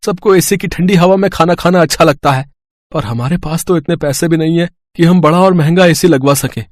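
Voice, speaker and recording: A man's voice: on the high side, a little fast, no echo, close to an everyday voice. Poor, kind-hearted eatery owner for a cartoon moral tale, male actor; earnest, plaintive, dry recording. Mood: worried. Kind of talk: dramatised moral story acting.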